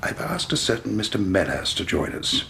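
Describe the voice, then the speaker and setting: An actor playing a genial, indolent and superior British gentleman sleuth in a period radio drama, urbane and self-assured. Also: on the low side, big pitch swings, moderate pace, vocal fry, whispered, heavily acted. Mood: leisurely, assured.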